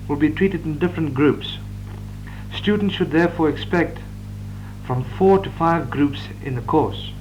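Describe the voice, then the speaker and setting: A man, reading out law course notes in a measured, dictating manner with occasional stumbles. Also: on the high side, highs cut off. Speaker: law lecturer. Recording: old reel-to-reel tape with hum.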